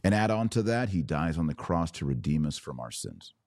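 A man hosting a religious podcast, preaching in an emphatic, declarative way with deliberate pauses and repetition. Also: rumbling low, very animated, darkish tone, dry recording, studio quality. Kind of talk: preaching monologue.

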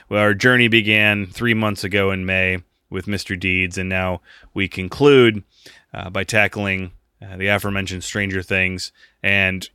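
A man's voice: low-pitched, bright, forceful tone, clear, resonant tone, dry recording, studio quality. A man co-hosting a podcast, chatting casually and jokingly, rambling with frequent laughs. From United States. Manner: rambling, jokey, laughs often. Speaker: podcast co-host.

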